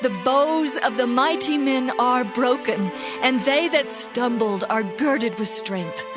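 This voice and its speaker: Devout woman praying, solemn n exultant, bit slow, music behind, dramatized bible. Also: sits high. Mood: solemn exultation. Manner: measured, declamatory, reverent. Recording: clear music under the voice.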